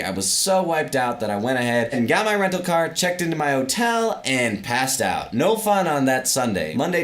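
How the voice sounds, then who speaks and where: A man's voice: mid-range voice, a bit bright. A young man hosting a podcast, telling a story as upbeat, playful scripted vlog narration. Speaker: podcast host.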